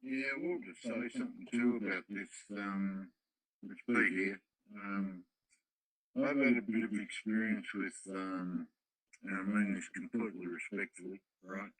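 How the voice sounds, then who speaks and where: A man with an Australian accent and a fairly deep voice talks casually over the radio. He is gentle and thoughtful, picking his way hesitantly through personal stories. The sound is dry and close. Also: steady tone, unhurried, no room sound, poor audio. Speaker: radio club participant. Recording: dry radio-app voice transmission.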